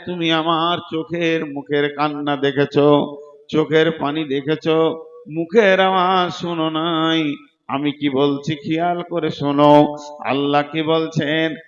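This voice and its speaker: A man's voice: a little high, a bit bright. A man preaching an Islamic sermon, telling religious stories emphatically, drawing out words and slipping into a half-sung chant. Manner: slow, emphatic, half-sung delivery with drawn-out words and repeated phrases. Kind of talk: Islamic sermon with chanted Quran recitation and dramatic storytelling. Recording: dull, lo-fi sermon recording.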